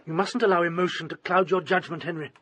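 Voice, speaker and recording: An actor playing a suave, insistent, sinister doctor in a radio drama, speaking in a formal, unctuous way. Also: male voice, high voice, fairly even intonation, quick pace, fairly bright tone. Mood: smooth, insistent. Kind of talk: British radio drama acting.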